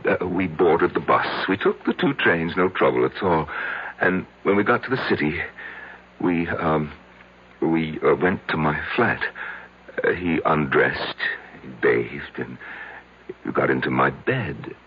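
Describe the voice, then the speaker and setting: An actor playing a meek, anxious patient confiding in his psychiatrist in an old radio drama, with hesitant, stammered pauses. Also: a bass voice, expressive, slightly rough, full character voice. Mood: halting, confiding. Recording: dull vintage radio broadcast recording.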